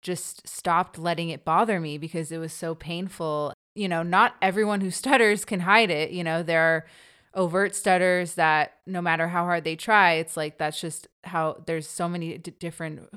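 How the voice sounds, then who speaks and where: A woman who stutters, hosting a personal interview with her mother in a reflective, halting way. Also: on the low side, steady tone, a bit bright, smooth. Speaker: podcast host.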